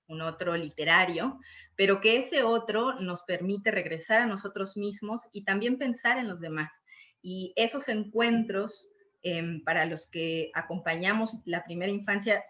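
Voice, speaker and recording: A woman talks in a panel discussion with a mid-pitched voice, thoughtful and searching for her words as she goes. She often closes a thought with a small tag question. The sound is muffled and lo-fi, like a call. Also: a bit bright. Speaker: panelist who promotes reading with young children.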